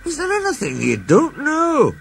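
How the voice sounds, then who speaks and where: A man's voice: very high voice, wildly swooping pitch, normal speed, creaky, a bit bright, pitched above the performer's own voice, full character voice. A man playing a shy Scottish steam engine. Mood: earnest. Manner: shy, earnest. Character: modest, loyal male steam engine.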